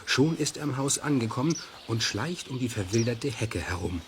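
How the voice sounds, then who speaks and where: A man narrating a children's radio play in an expressive, suspenseful storytelling manner. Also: mid-range voice, normal speed, noisy background, close to an everyday voice.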